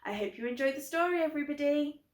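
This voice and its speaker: A woman's voice: high-pitched, expressive, quick pace. A British woman hosting a children's book club, talking simply and directly to young viewers. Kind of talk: children's presenting. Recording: echoey room.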